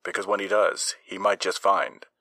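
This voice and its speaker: A man acting an earnest American newscaster and host in old-time radio style, crisp and theatrical. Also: low voice, steady tone, moderate pace, pushed and bright, dry recording, full character voice. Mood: suspenseful. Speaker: newscaster and story narrator. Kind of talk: old-time radio news and suspense narration.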